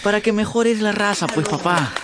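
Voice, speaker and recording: A man's voice: sits high, moderate pace, background noise, lightly acted. An actor playing an affectionate, cheerful young man home from travels in a radio drama. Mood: proud. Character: affectionate, proud grown son home from travels.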